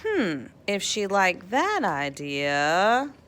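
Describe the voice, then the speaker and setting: A woman reads as a spirited young girl inventor in a picture book, eager and full of opinions. The voice is bright and exclamatory, with pitch that jumps about in lively swings. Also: very slow, full character voice. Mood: excited, eager. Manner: emphatic, exclamatory.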